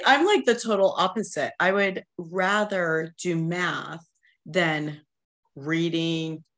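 Candid woman venting with a swear. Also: medium pitch. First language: not English. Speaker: podcast co-host. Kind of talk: casual chat.

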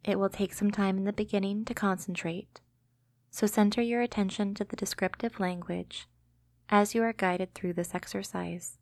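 Calm woman guiding meditation, evenly pitched. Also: dry recording. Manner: gentle, calming, measured delivery. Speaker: host and narrator of a guided meditation podcast. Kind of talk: scripted guided imagery meditation narration.

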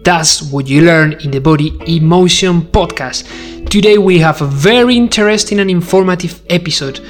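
A man's voice: on the high side, normal speed, soft background music. Spanish-accented male podcast host, emphatic delivery. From Spain. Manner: emphatic, addresses listener with rhetorical questions. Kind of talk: conversational educational monologue to the listener.

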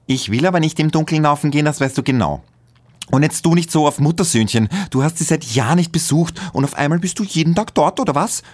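A man voicing a snippy, sporty young woman with an Austrian accent, high and teasing. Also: animated, fast talker. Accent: Austrian German. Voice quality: high, sassy.

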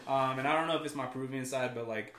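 A man's voice: medium pitch, quick pace, a bit bright, roomy sound. A man from California, a guest on a casual podcast, talking in a rambling way with lots of fillers and repeated words.

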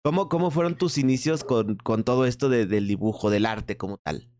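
A man's voice: mid-range voice, brisk, a little mellow. Friendly rambling man hosting an interview. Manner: friendly, rambling questions, calls guest "hermano". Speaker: interviewer, host. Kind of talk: casual stream interview.

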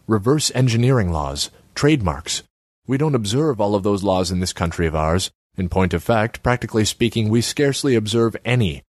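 Pompous male politician for audiobook, acted by a male reader: airy, wordy, self-righteous, with animated pitch and dry sound. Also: dry recording.

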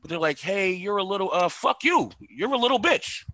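Blunt profane man, bright and high. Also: lively intonation, moderate pace, no echo. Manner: blunt, profane, agreeable banter. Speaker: podcast co-host. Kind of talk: casual podcast chat.

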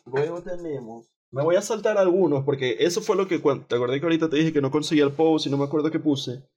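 Male podcast co-host, young, Venezuelan accent, pitched a bit above average, loose slangy gossip delivery with bits of English. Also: fast, darkish tone, breathy edge. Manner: informal, slangy, peppered with English words. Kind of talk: casual gossip chat, partly reading out posts.

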